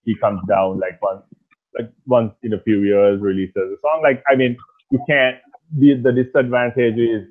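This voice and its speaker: A man in his thirties from Sri Lanka, a singer talking casually in an interview over a video call, with a rough voice and a relaxed, rambling way of speaking full of fillers. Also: mid-range voice, normal speed. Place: small indoor room at night. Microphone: earphones on a video call. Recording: tinny phone-like call audio.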